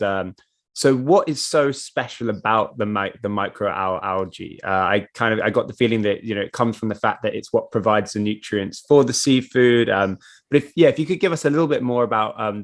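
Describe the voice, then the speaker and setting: Male host, lowish voice, measured, a bit hesitant on his questions. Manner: measured, hesitant with ums when asking questions. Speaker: interview host. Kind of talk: scripted show intro, then interview questions.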